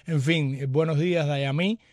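Un hombre conduce un programa de radio en vivo y lee mensajes de oyentes con humor. Su voz es algo aguda. Suena seca y cercana, sin eco de sala. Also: male voice, fairly high pitch, fairly even intonation, moderate pace, a little mellow, dry recording. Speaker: radio show host. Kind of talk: live radio chat, reading listener messages.

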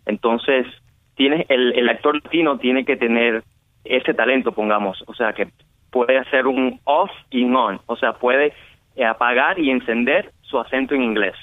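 A man's voice: medium pitch, a little slow, dry recording. A Cuban man with a Cuban Spanish accent, a guest actor chatting casually in an interview, laughing often and rambling with fillers. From Cuba. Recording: muffled lo-fi line.

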